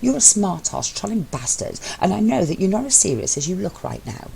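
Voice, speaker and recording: A woman voicing an exasperated, bossy and sharp-tongued young man in an audio story. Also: medium pitch, very animated, a little fast, gravelly, slight background noise, pitched above the performer's own voice. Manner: exasperated, cutting, foul-mouthed.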